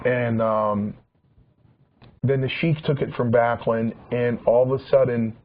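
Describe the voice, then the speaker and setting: A man's voice: medium pitch, steady tone, measured pace. Rambling man in his forties, lo-fi. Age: 40s. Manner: rambling, restarts, repeats words. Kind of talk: casual talk show chat. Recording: dull lo-fi sound with faint hiss.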